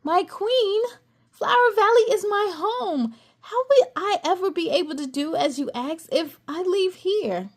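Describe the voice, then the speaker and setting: A sassy, strong-willed young girl fairy voiced by a woman speaks up indignantly in a read-aloud storybook. The voice is pitched very high and swings widely. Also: lively intonation, a little slow, exaggerated voice. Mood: pleading. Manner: pleading, indignant.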